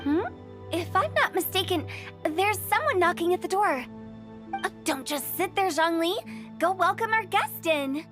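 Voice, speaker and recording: A woman's voice: very high-pitched, expressive, measured pace. A woman voicing a playful, cheeky young funeral parlor director in a game, perky and teasing. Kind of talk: animated game cutscene voice acting. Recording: music under the voice.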